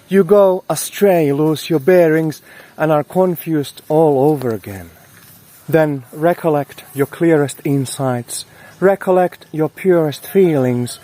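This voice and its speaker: A man hosting a scripted spiritual talk, speaking solemnly and oratorically in short, deliberate phrases. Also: fairly high pitch, a little mellow. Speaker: host of a spiritual talk series.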